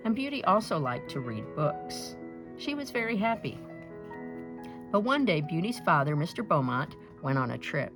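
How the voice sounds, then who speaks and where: A woman reads a children's story aloud in a dramatic, expressive way. Her voice sits on the low side and swings widely in pitch, with music playing clearly behind her. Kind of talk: children's picture book read aloud.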